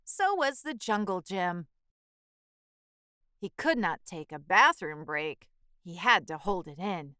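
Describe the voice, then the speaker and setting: A woman reading a children's picture book aloud in a measured, expressive storytelling manner. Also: medium pitch, no echo, clean studio sound. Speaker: children's book reader and channel host.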